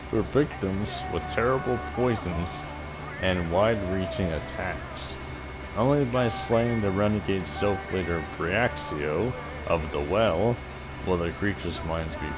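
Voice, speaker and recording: A man with a deep voice casually commenting on a game and reading quest text, rambling and swearing freely. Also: rough recording. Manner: profane, rambling, laughs often. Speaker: gaming video host.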